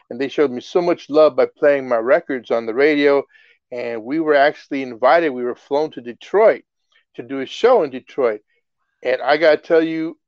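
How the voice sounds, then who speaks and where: A middle-aged man from the Philippines, a guest in a casual interview, telling stories with lively excitement. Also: on the high side, dry recording, highs cut off. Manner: animated storyteller, gets excited and laughs while telling stories. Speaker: guest, music artist.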